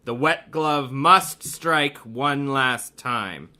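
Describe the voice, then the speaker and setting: Man playing a slow, grandiose villain mastermind. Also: medium pitch, bright, forceful tone, full character voice. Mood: gloating, grandiose. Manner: grandiose, theatrical, deliberate. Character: gloating criminal mastermind, male hypnotist doctor, grandiose and greedy. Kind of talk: radio mystery drama acting.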